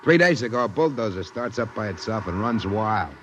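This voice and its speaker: A man's voice: lowish voice, animated, fairly quick, a bit bright, lo-fi sound. A man acting a blunt, streetwise security guard, plainspoken and clipped, in a 1950s radio drama. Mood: blunt, grim. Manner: plainspoken, matter-of-fact, clipped. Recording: vintage radio recording with faint noise.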